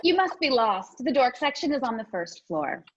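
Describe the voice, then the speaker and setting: A woman's voice: high-pitched, sing-song, normal speed, slight background noise. A woman playing a snide, popular teenage mean girl in a comedic table read. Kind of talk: comedic table read over video call. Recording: dull video-call audio.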